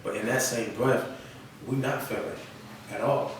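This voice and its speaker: A man's voice: mid-range voice, fairly even intonation, a little slow. A young man talking casually and slangily in a podcast interview. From North Carolina, United States. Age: young adult. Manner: slangy, often checks with "you feel me". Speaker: rapper being interviewed. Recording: echoey room, distant mic, noisy.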